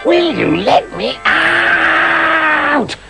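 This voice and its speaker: A loud, rough-voiced spirit bellows in a strained, very high register that swoops wildly. He is a blustering, dim creature who shouts his threats and his pleas alike. Music plays behind him. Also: sing-song, very slow, full character voice, low-quality recording. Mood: pleading.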